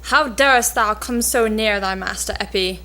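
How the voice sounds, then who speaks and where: A woman's voice: medium pitch, moderate pace, pushed and bright. A woman playing a cheeky young page boy in an amateur cold reading of an old stage play. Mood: sly. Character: cheeky young page boy mocking a braggart with feigned awe.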